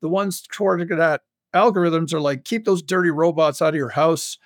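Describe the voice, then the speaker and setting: High-voiced man, enthusiastic interview guest. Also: normal speed, no room sound, clean studio sound. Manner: enthusiastic, animated storytelling with frequent emphasis. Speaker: guest, audio fiction creator and commercial voice director. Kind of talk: casual interview chat.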